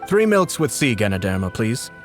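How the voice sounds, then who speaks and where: An actor playing a composed, courteous young noble commissioner in a video game, measured and unruffled. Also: male voice, medium pitch, big pitch swings, faint music behind, lightly acted. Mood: curious, amused.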